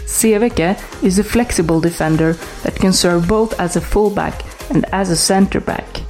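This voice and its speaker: A woman's voice: medium pitch, normal speed, a little mellow, soft background music. A woman host reading a scripted introduction to a podcast episode. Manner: reads from a script.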